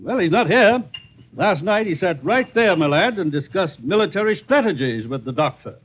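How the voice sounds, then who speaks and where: An actor playing a curious, gruff colonial tavern keeper with folksy old-fashioned phrasing in a 1950s radio drama. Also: male voice, high-pitched, lively intonation, normal speed. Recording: noisy muffled 1950s radio recording with clicks.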